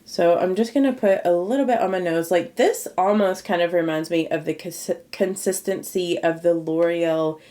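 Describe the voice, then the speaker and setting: A woman with a slightly low voice talks her viewers through a makeup review in a loose, rambling, chatty way. She sounds a little nasal and stuffed up from a cold, and her throat is slightly scratchy. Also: normal speed, hardly any pauses, warm tone. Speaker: beauty YouTuber. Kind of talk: casual makeup review vlog.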